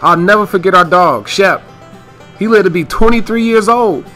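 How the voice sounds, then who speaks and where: A man's voice: high voice, moderate pace, sharp tone, faint music behind. An American man playing a genial, folksy father of a young family in a radio play. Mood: folksy, fond. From United States.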